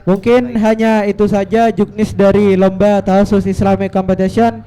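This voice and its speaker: High, mellow-voiced man reading rules. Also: low-quality recording. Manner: measured, even, formal reading aloud. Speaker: rules reader at a school quiz competition. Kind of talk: formal reading of competition rules. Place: event hall at an Islamic boarding school.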